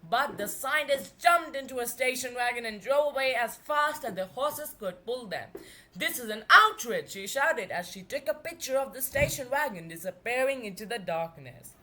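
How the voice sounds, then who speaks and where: A woman reading and retelling a children's story aloud, expressive, with emphatic drawn-out words. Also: on the high side.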